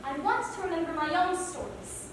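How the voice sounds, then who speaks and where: A woman's voice: very high-pitched, expressive, a little fast. A woman on stage playing a young, stubborn schoolgirl who is proud of her family's traditions. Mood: defiant. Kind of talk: stage play acting. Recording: echoey hall, distant mic, noisy.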